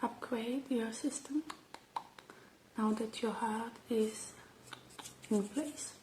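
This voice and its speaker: A woman doing a gentle, unhurried ASMR roleplay, speaking softly and slipping into breathy whispers close to the microphone. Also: fairly high pitch, fairly even intonation, a little hiss or hum. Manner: gentle, unhurried, murmuring to the listener. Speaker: ASMR creator playing a robot repair technician.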